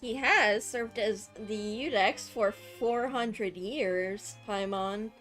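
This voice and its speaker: A woman's voice: medium pitch, takes it slowly, fairly bright tone. A woman game streamer giving casual, teasing reactions and wry asides during a playthrough. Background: game music.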